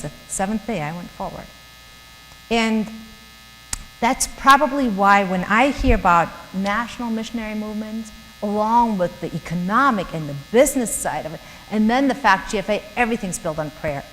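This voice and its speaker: A woman's voice: medium pitch, a bit bright. A middle-aged woman from Germany with a German accent, giving an earnest, chatty church talk to young professionals and laughing often. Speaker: guest speaker. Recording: hall reverb with faint mains hum.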